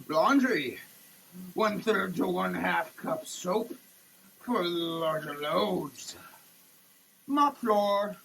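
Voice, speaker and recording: A man giving a comedic dramatic reading of a product label, theatrical and over-emphatic, with halting delivery. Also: a little high, a bit bright, a little hiss or hum, low-quality recording. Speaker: comedic performer.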